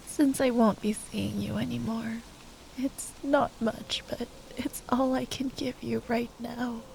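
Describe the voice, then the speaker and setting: A woman playing a grieving, tender young sorceress at a bedside in an intimate ASMR roleplay, speaking in a hushed, halting way that often slips into whispers. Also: fairly high pitch, steady tone, vocal fry, slight background noise, low-quality recording. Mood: tender resolve.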